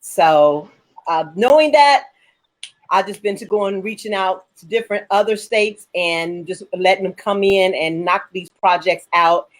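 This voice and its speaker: A woman's voice: mid-range voice, a little slow. A woman moderating a panel discussion in a conversational style, as its host.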